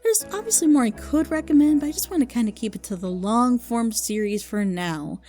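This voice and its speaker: Female video host, higher voice, informal and enthusiastic recommendations, loosely delivered, faint music underneath. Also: lively intonation, a little fast, mellow. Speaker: video host recommending fan audio dramas. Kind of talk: casual unscripted review.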